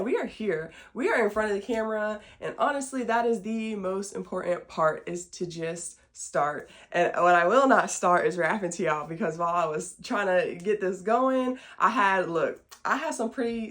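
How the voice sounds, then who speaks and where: A woman in her late twenties from small-town Illinois speaks with an American accent at a middle pitch. She hosts a podcast in a casual, folksy manner, addressing listeners directly and poking gentle fun at herself. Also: moderate pace. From small-town Southern Illinois, United States. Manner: informal, folksy, self-deprecating. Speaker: podcast host. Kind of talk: casual chat to camera, introducing a show.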